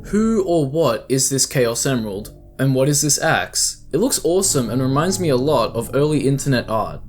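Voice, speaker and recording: A man giving scripted, explanatory narration as the host of a video essay. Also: medium pitch.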